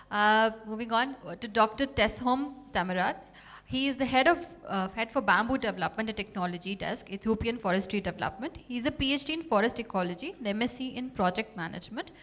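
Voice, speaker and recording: A woman's voice: on the high side, a bit bright. A woman moderating a panel, giving formal introductions and sometimes searching for words. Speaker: session moderator.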